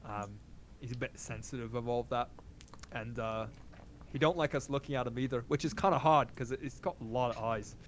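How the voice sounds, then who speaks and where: A man's voice: medium pitch, a little fast, slight background noise, lightly acted. A man voicing a rambling, casually menacing guard. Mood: nonchalant. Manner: rambling, apologetic, casual about violence. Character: cheerfully menacing male city guard. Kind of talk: tabletop game character voice.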